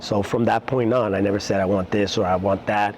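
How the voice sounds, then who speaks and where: A middle-aged man, talking casually and haltingly in an interview as a martial arts instructor, with many pauses. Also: fairly low pitch, fairly even intonation, a little mellow, slight background noise. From Puerto Rico-born, raised in New York City.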